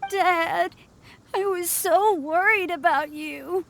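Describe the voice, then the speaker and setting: A woman voicing a sweet, excitable young boy in a video game. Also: very high voice, slow, creaky, exaggerated voice. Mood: worried. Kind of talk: game dialogue.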